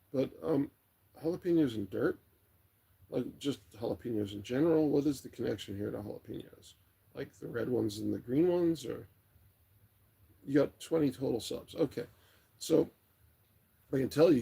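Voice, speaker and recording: A middle-aged man hosts a casual late-night livestream, reading comments and rambling along at his ease. His voice is heavily creaky and rough, with a worn, tired rasp. The tone stays mellow and dark, relaxed and unhurried throughout. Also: mid-range voice. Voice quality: raspy, gravelly. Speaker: livestream host. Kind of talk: casual chat, reading viewer comments aloud.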